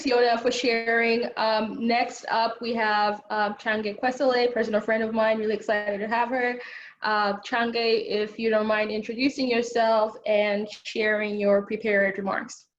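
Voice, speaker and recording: A woman facilitating a video-call panel introduces the next speaker in a brief, upbeat way. Her pitch sits slightly high but barely moves. The audio is dull and lo-fi, with a faint hiss underneath. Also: little pitch movement, unhurried.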